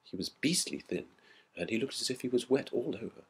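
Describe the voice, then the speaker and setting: Man voicing a hushed, frightened schoolboy, husky. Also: medium pitch, expressive, brisk, pitched above the performer's own voice, full character voice. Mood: uneasy, hushed. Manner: hushed, hesitant. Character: frightened, secretive schoolboy witness, urgent and uneasy.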